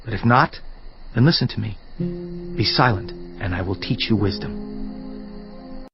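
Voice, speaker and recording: A man's voice: fairly low pitch, expressive, slow. An actor playing an earnest, indignant biblical man, declaiming in an oratorical dramatized scripture reading with rhetorical questions. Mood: stern, commanding. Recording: dull lo-fi audio with music. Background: background music.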